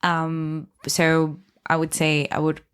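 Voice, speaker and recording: A woman's voice: low-pitched, completely flat delivery, slow pace, smooth. Woman with a Spanish accent, speaking hesitantly. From Spain. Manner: hesitant, thoughtful, with many fillers and restarts. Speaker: guest. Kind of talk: casual conversation.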